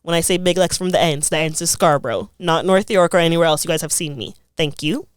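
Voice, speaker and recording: Low, smooth-voiced woman. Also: quick pace, no room sound, clean studio sound. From Toronto, Canada. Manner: thoughtful, frequent "like" fillers. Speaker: guest. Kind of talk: reflective casual interview.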